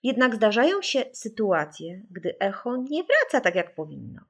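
A woman voicing a calm, caring mother who gently and patiently explains things to children in an audiobook. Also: medium pitch, a little slow. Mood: reassuring.

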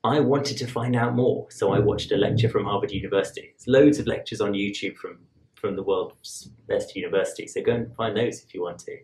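A man, an admissions tutor calmly explaining to camera in a measured, conversational way. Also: lowish voice, slight background noise. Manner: measured, conversational, rhetorical asides. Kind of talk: explaining to camera, advice lesson. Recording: roomy echo.

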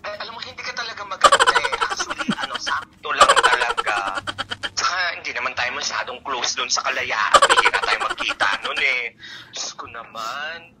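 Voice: medium pitch, wildly swooping pitch, normal speed, creaky, heavy background noise. A Filipino speaker chatting casually and animatedly with a friend over a phone call, in a bantering way. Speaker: friend receiving a prank call.

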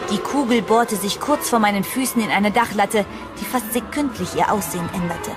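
Horror audio drama narrator, played by a woman: shaken heroine, dramatic first-person telling, mid-range voice, clear music bed. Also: mid-range voice, moderate pace, close to an everyday voice. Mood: tense, dramatic.

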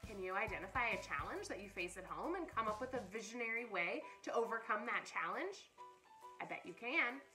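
A woman in her thirties reads and chats to children in an animated, encouraging way, as a picture-book storyteller. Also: on the high side, a little fast, music in the background, rough recording. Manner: animated, encouraging, wide-eyed emphasis. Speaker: children's story reader, camp director. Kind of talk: expressive picture-book read-aloud and chat to children. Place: small indoor playroom.

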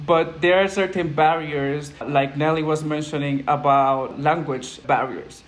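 A man's voice: fairly high pitch, steady tone. Young man with a Puerto Rican accent. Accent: Puerto Rican Spanish. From Puerto Rico. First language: Spanish. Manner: earnest, thoughtful, measured. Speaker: program manager, panel guest. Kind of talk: panel discussion on video call. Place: indoors at home, plain wall. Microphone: earphone headset on video call.

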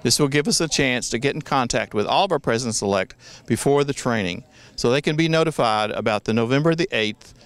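Older man with a smooth clear voice. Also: medium pitch. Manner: earnest, measured, with small restarts. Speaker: district governor giving a monthly message. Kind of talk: prepared address to club members. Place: outdoors in a wooded forest. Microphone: handheld mic held near the chin.

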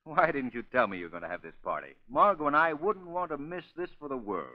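A self-assured gentleman sleuth in a 1930s radio drama. His polished, probing delivery is very animated, in a slightly raised voice, on a muffled vintage recording. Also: fairly high pitch, fast, dry recording. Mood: smooth, polite.

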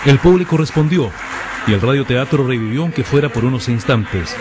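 A man's voice: mid-range voice, fairly quick. A man with a Chilean accent reading a scripted regional news report as reporter.

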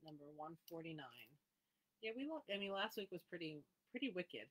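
A woman with a slightly low voice hosts a live sale of needlework supplies. She reads out item numbers and prices in a friendly, chatty way and talks with viewers by name between items. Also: sing-song, fairly quick. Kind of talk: live sales presentation, casual chat.

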